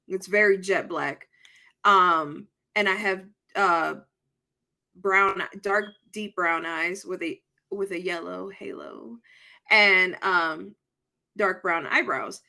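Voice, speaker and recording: A woman with a Dominican accent who grew up between Puerto Rico and the Dominican Republic, co-hosting a podcast and telling stories in a blunt, animated way. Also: mid-range voice. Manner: blunt, animated storytelling with casual swearing. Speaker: podcast co-host. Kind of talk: casual chat.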